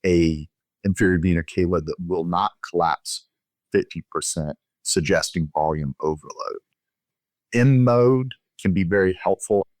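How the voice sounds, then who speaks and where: Low-voiced man explaining clinical technique. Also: measured pace, a little mellow, no echo. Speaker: physician guest expert. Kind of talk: explanatory medical interview.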